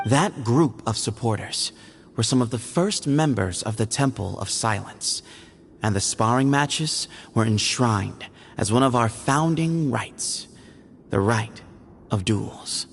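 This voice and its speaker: An actor playing a solemn, pragmatic temple heir in a video game, speaking in a formal, measured way. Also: male voice, medium pitch, animated, vocal fry, slight background noise. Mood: solemn, resolute.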